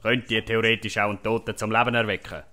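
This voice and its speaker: A male actor plays a grumpy loner hero in a Swiss German comedy audio play. The voice is fairly low with a bright, forceful edge, and the replies are blunt and dismissive. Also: little pitch movement, fast. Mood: curious. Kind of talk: comic audio drama acting.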